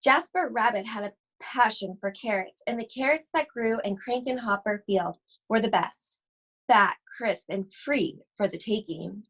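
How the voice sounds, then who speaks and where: Casting: female storybook reader, higher-pitched, unhurried, expressive and suspenseful delivery for young listeners. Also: breathy edge, lo-fi sound. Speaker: school library read-aloud host. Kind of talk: expressive children's storybook read-aloud.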